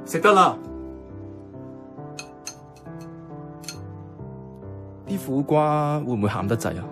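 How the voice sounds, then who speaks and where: A man's voice: a little high, big pitch swings, brisk, over music. An actor with a Hong Kong Cantonese accent playing an earnest, clumsy, lovestruck young man in a radio drama. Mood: anxious.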